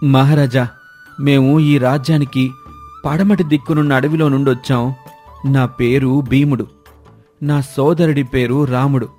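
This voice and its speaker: A man's voice: mid-range voice, fairly even intonation, unhurried, pauses often, a little mellow, soft background music, lightly acted. A man voicing a humble, earnest young forest villager who speaks respectfully in a cartoon moral story. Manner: respectful, pleading.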